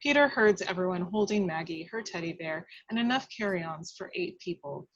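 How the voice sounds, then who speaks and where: A woman's voice: fairly low pitch, animated, normal speed, muffled recording, background noise. A woman reading stage directions as the narrator of a script table read, in a dramatic storytelling style.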